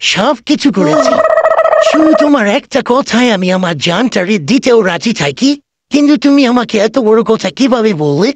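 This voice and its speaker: A woman's voice: on the high side, expressive, normal speed. A woman voicing a whiny, aggrieved old grandfather in a comic cartoon, indignant and pleading with melodrama. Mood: hurt, indignant. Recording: noisy amateur cartoon dub with clicks.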